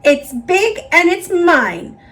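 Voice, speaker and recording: A woman's voice: extremely high pitch, very animated, slow pace, a bit bright, pitched above the performer's own voice, heavily acted. A woman voicing a bossy, frustrated witch for a children's storybook, complaining in an insistent singsong. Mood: exasperated, insistent. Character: bossy, frustrated female witch guarding her pumpkin.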